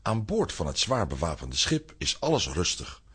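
A man's voice: sits low, huge pitch swings, moderate pace, fairly bright tone, slight background noise. A man narrating a children's audio drama in a suspenseful, theatrical storytelling style.

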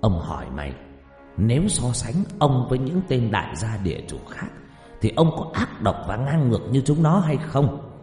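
A man voicing a wealthy, stern, quick-tempered landlord who interrogates in a commanding, condescending way in an audio story. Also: medium pitch, big pitch swings, mellow, voice pushed up, natural voice. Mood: menacing. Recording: echoey with long reverb, music behind.

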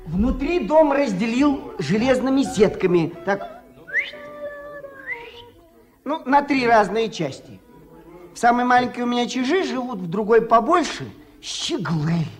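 Хвастливого деревенского чудака с живыми перепадами голоса. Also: male voice, very high voice, big pitch swings, unhurried, pauses often, heavily acted. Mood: boastful, excited. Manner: bragging, folksy, stumbling over words. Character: boastful excitable village man, bird lover and musician. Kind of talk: Soviet radio play acting. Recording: 1979 radio play with music.

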